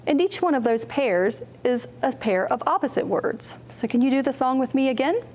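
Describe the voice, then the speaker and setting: A woman hosting a children's storytime, reading and explaining in simple, deliberate phrases for young children. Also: high-pitched, animated, darkish tone, slight background noise.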